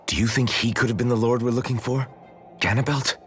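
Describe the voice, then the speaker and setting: A man's voice: medium pitch, fairly even intonation, fairly quick, a bit bright, soft background music, close to an everyday voice. A man playing an earnest, patient young fantasy hero in a video game. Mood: wary. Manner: earnest, conciliatory.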